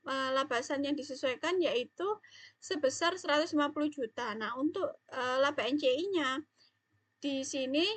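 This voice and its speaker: Young woman, really high voice, student presentation, kinda hesitant, dry close sound. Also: normal speed, fairly bright tone, no echo. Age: young adult. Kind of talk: explanatory class presentation.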